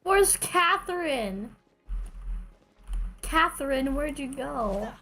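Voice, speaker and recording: A woman with a high-pitched voice streams a game. She reacts in a casual, irreverent, joking way. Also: big pitch swings, very slow, pushed and bright.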